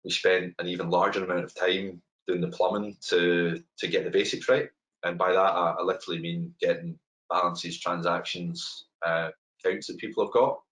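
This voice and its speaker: A middle-aged Glaswegian man talks through a webinar presentation over a webcam. His voice sits very low and he speaks in a loose, chatty way, full of ums and restarts. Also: rough recording. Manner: relaxed and conversational, frequent ums and repeated words. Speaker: head of open banking technology, webinar speaker. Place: home room, indoors. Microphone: webcam on a video call.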